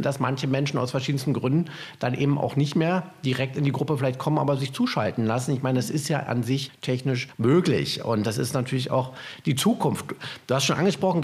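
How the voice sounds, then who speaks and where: Male host, medium-pitched voice, conversational interviewer who comments and asks questions. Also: a little mellow.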